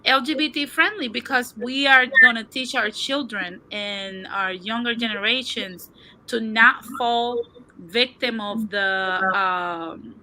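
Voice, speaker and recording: A woman joins a streamed call as a guest and shares her views. Her voice is very bright and a little high, and she often restarts a point while she works it out. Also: slow, poor audio. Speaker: guest on a livestream. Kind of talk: casual opinion discussion.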